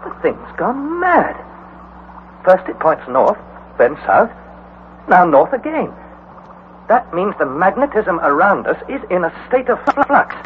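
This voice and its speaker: An anxious young nephew. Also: male voice, high-pitched, big pitch swings, unhurried, long pauses. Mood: alarmed. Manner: earnest, dramatic storytelling. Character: young male nephew narrator, earnest and anxious. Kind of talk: 1940s radio acting. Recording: tinny old radio broadcast with faint hiss.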